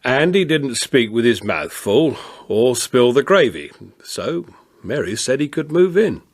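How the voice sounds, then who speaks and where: An actor playing a kindly, shrewd older police constable in a radio drama, homely and plain-spoken. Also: male voice, medium pitch, very animated, measured pace. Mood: content narrating. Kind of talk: radio drama acting with some narration.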